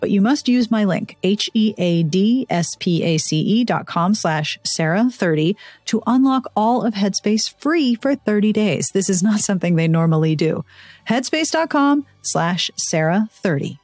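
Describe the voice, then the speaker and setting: A woman's voice: mid-range voice, animated, moderate pace, a little mellow, dry recording, faint music behind. A woman hosting a podcast, speaking in a conversational, playful way. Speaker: podcast host. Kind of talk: ad reads and casual interview chat.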